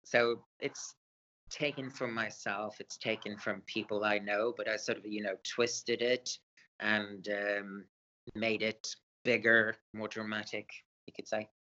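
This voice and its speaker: A man's voice: on the low side, little pitch movement, unhurried, bright, forceful tone, lo-fi sound. A man born in Sweden, an author answering interview questions hesitantly with many fillers. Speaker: author guest.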